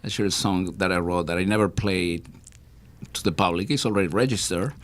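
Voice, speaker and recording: A singer-songwriter from Argentina with an Argentine accent, chatting easily and joking as a guest in a radio studio. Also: male voice, low voice, brisk. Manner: relaxed, jokey storytelling. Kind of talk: live studio performance and chat.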